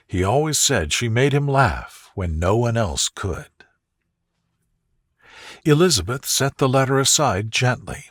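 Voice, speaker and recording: A man narrating a soothing scripted bedtime story in a gentle, unhurried way, with a soft, breathy voice that eases down at the ends of phrases. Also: mid-range voice, no room sound, clean studio sound.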